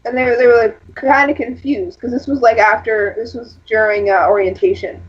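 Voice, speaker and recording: Husky woman rambling through stories. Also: steady tone, moderate pace. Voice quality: husky, lower than average for a woman. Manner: rambling, storytelling with frequent fillers. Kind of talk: casual chat. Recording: lo-fi dull sound.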